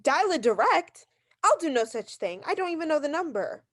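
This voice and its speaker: A woman's voice: high-pitched, sing-song, moderate pace, fairly bright tone. A woman playing a nervous, imperious bedridden wife in a theatrical radio drama, agitated and haughty as she complains. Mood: outraged. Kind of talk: theatrical 1940s-style radio drama acting.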